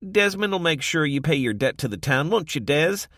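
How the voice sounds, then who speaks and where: A woman's voice: sits low, animated, moderate pace, a bit bright, dry recording, clean studio sound, pitched above the performer's own voice, exaggerated voice. A man voicing a cheerful, teasing woman who runs a small café kitchen. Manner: teasing, bantering. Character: cheerful sharp-tongued woman café cook.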